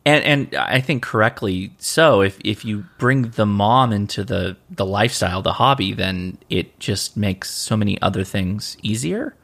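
A man's voice: fairly low pitch, moderate pace, gravelly, few pauses, a bit bright. A man co-hosting a podcast in casual chat, rambling and often repeating himself as he thinks aloud. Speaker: podcast co-host.

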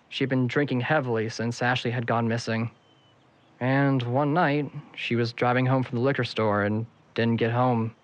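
A man's voice: medium pitch, fairly bright tone, natural voice. A young man acting a cocky, evasive, sardonic character with a grudge in an audio drama. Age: young adult. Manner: sarcastic, flippant.

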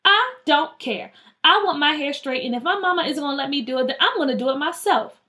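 A woman's voice: sits high, lively intonation, fairly quick, bright, forceful tone, pitched above the performer's own voice. A woman voicing an eager, dramatic, headstrong young schoolgirl in a children's story. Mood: excited, stubborn. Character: headstrong excitable schoolgirl wanting straight hair.

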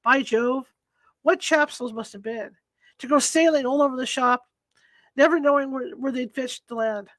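A woman's voice: sits high, normal speed, a bit bright, no echo. A woman reading aloud as a dreamy young man who speaks absently, as if half in a vision. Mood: impatient. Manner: absent-minded, distant storytelling.

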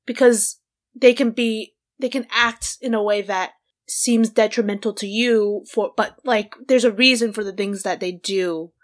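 A woman hosting a podcast, asking easy questions and agreeing in a relaxed, casual way. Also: medium pitch, measured pace. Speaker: podcast host. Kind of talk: casual interview chat.

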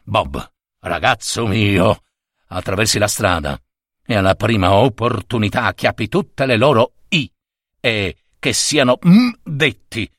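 A man's voice: lowish voice, expressive, a little slow, a bit bright, dry recording, clean studio sound. A man voicing a grumpy middle-aged newspaper foreman who growls his orders. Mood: gruff. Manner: growling, curt.

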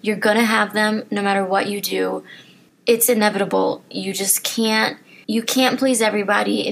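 A woman's voice: mid-range voice, vocal fry, poor audio. A young woman hosting a solo podcast, talking earnestly and encouragingly to her listeners while losing her voice. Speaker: podcast host. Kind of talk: motivational solo podcast talk.

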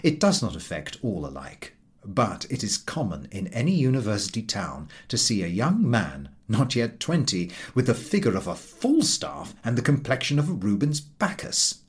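A man narrating a literary essay as an audiobook reader, in measured, emphatic, oratorical phrasing. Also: mid-range voice, sing-song, slightly rough.

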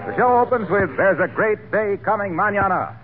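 A man's voice: high-pitched, normal speed, music in the background. A man working as a 1940s radio announcer, reading scripted sponsor copy in a polished, declamatory salesman's manner. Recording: tinny, noisy vintage 1940s radio broadcast.

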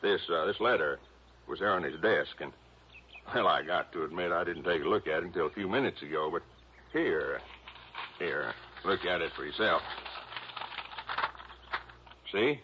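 An actor playing a folksy, stubborn small-town police chief with an American accent in an old radio drama. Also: male voice, deep voice, expressive. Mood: insistent. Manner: folksy, halting, insistent. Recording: muffled, noisy vintage radio broadcast.

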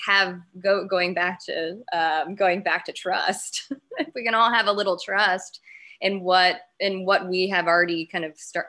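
Bright, slightly low female co-host, musing and reflective. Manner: reflective, thinking aloud. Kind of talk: casual group conversation.

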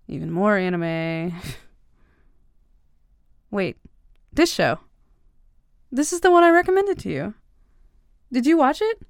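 Young woman, voice actress, creaky voice, teasing older-sister type, casual halting delivery, intimate roleplay, very dry recording. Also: fairly low pitch, a little mellow, dry recording, full character voice. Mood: playful teasing, then excited, insistent. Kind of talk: intimate ASMR-style romantic audio roleplay.

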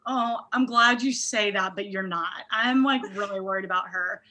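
A woman's voice: medium pitch, moderate pace. A woman guest on a podcast, chatting casually and acting out her own reactions. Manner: animated, acts out her own reactions with "I'm like". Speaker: podcast guest, bra company founder. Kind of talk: casual chat.

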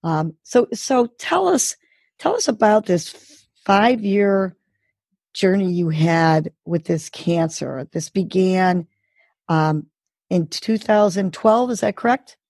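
Encouraging woman host, husky and dry. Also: lowish voice, lively intonation, slow, no room sound. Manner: warm, encouraging, effusive. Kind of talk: interview hosting.

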